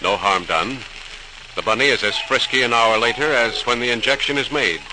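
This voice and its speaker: A man's voice: mid-range voice, heavy background noise. A man with an American accent delivering emphatic, declamatory scripted narration in a 1940s radio style. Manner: declamatory, emphatic, measured phrasing. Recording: old transcribed radio recording. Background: music.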